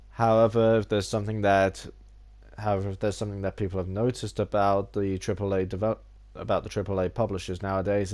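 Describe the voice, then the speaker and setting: A man's voice: fairly low pitch, a little mellow. A man with a cold and a slightly nasal voice, talking casually about games in a rambling way with many restarts. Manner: theatrical opening greeting. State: has a cold, a little nasal. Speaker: gaming YouTuber. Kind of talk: casual video essay.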